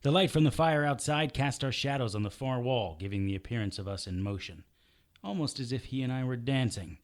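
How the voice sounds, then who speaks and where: A man's voice: mid-range voice, a little fast, close to an everyday voice. A man playing a tough, sardonic fighter who tells his battles in first person in a noir audio drama. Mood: grim, intense. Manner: sardonic, gritty. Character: tough, wry immortal male fighter narrating his own battles.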